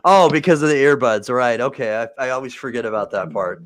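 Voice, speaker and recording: A man's voice: medium pitch, a little fast, noisy background. A man hosting a live video-call interview show, encouraging and affirming his guest and laughing easily. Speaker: show host. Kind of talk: casual livestream interview.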